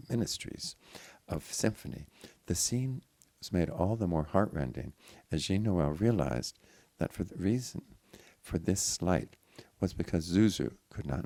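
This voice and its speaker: Deep, creaky-voiced male storyteller. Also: mellow, no room sound. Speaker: audiobook reader. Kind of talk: dramatic literary story narration.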